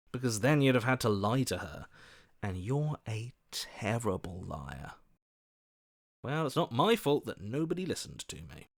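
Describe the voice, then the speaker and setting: Smarmy scheming opportunist with swooping pitch. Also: male voice. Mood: peevish. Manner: smarmy, wheedling, theatrical. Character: scheming, flattering, self-serving opportunist, a voice in the head. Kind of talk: video game character voice lines.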